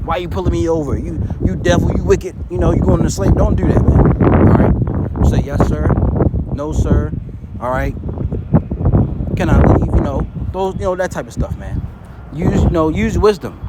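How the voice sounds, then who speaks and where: A man giving a casual bible teaching outdoors, constantly checking in with "all right" and "you know". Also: a little high. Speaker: street preacher. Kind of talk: informal bible teaching video. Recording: loud wind and traffic noise.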